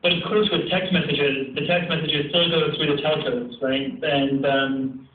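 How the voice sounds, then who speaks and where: A man's voice: on the high side, steady tone, measured pace. A man giving a keynote talk over a video call, then answering questions with many fillers. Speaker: keynote speaker. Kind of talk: remote presentation and Q&A. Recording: tinny distant call audio in an echoey room.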